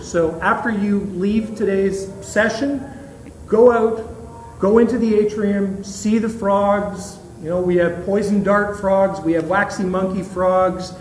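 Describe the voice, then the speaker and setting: A man giving an informal presentation to a group as a guest speaker, with halting, hesitant phrasing. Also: high-pitched. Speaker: guest speaker, marketing director of botanical gardens. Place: indoor event venue at botanical gardens. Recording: distant mic in echoey room, background noise.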